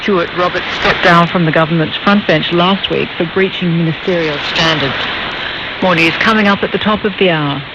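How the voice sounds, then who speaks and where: A woman's voice: fairly low pitch, normal speed. A woman reading a scripted news bulletin as a radio newsreader. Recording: noisy, dull shortwave radio reception.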